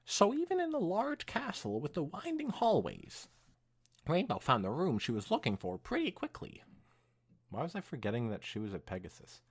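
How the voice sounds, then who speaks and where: A young man hosting a podcast, reading fan fiction aloud in an animated way mixed with casual chat. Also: fairly high pitch, normal speed, husky.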